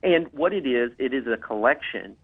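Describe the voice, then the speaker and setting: A man's voice: mid-range voice, expressive, moderate pace, vocal fry. Man talking over a tinny phone line. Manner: hesitant, many fillers and restarts. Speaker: guest on a political talk show. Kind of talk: casual promotional talk.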